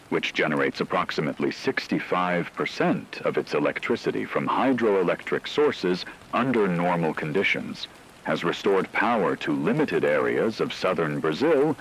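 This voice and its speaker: A man reading a scripted emergency radio broadcast in a grave, measured, formal manner. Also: sits low, fairly bright tone, slight background noise, poor audio.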